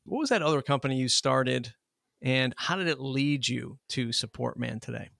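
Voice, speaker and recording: A man's voice: medium pitch, normal speed, vocal fry, fairly bright tone, no echo, clean studio sound. A man hosting a podcast in a casual, ad-libbed way, chatty and informal, repeating phrases for emphasis. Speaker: podcast host. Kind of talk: casual ad-libbed sponsor reads and interview.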